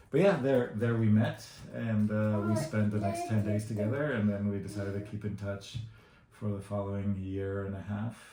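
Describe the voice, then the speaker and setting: Мужчина за тридцать, низкий голос, гулкая комната. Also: male voice, on the low side, warm tone. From Guatemala. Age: 30s. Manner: hesitant, many fillers and restarts. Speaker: interviewee. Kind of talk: casual interview answers. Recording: echoey room with faint noise.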